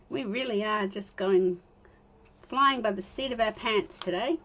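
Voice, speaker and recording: An Australian woman in her fifties, chatting and talking to herself while she demonstrates card making, with a slightly croaky voice. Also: medium pitch, takes it slowly. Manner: rambling, self-talk with soft laughs. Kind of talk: chatty crafting demo.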